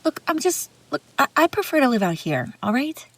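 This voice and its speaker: A woman's voice: high voice, very animated, brisk, a bit bright, heavily acted. A woman playing a haughty, bratty young runaway princess in a roleplay audio drama, sarcastic and bickering with the listener. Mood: irritated, defensive.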